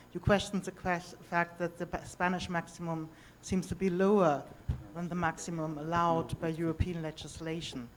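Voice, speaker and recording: A woman law professor in a seminar audience, not speaking her first language, asking a brisk, assertive question. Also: on the low side, a little hiss or hum. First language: not English. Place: university seminar room.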